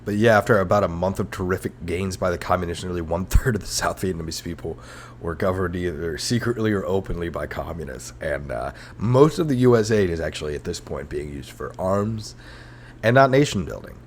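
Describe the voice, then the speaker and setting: A man's voice: sits low, expressive, brisk, a little hiss or hum. A man hosting a comedic history podcast in a rambling, sarcastic, self-mocking monologue. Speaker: podcast host.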